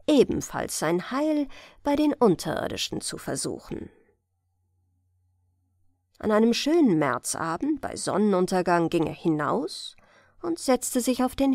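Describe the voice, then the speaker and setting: A woman narrating a fairy tale as a gentle bedtime audiobook reading. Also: medium pitch, vocal fry, dry recording, clean studio sound. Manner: slow, melodic storytelling.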